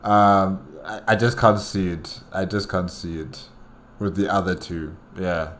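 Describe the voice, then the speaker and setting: A man's voice: low-pitched, fairly even intonation, unhurried, fairly bright tone, a little hiss or hum. A man giving casual solo commentary as a reaction host, musing hesitantly and repeating his phrases.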